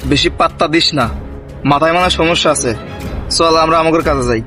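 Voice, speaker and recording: A man's voice: fairly high pitch, brisk, over music, lightly acted. A man playing a blunt, commanding bandit chief in a horror drama. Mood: questioning, bossy. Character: gruff male bandit chief, bossy.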